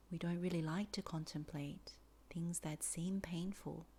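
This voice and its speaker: Female meditation teacher, slightly low register, soft and breathy at phrase ends, measured and deliberate delivery. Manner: slow, deliberate phrasing with many pauses. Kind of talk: guided meditation instruction.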